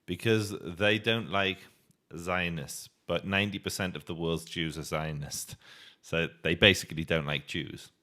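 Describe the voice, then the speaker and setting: A man with a fairly low voice argues his case in a street debate. He is assertive and pushes back hard. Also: fairly even intonation, measured pace, sharp tone. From United Kingdom. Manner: assertive, argumentative, calls others bro.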